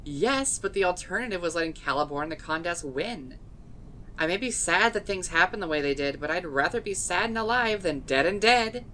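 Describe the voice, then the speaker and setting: Hurt, blunt young woman with bright voice, acted. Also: on the low side, expressive, normal speed, a little hiss or hum. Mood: earnest, reassuring. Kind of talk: fan dub voice acting, dramatic dialogue.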